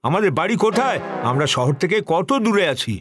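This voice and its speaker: A man's voice: high voice, animated, fast talker. An actor dubbing a male jungle animal who complains anxiously. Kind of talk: cartoon dub voice acting. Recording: music under the voice.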